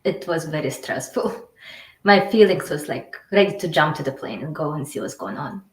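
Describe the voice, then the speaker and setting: A woman from Ukraine speaking halting, non-native English with many fillers, as a guest in a casual interview. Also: sits low, expressive, roomy sound.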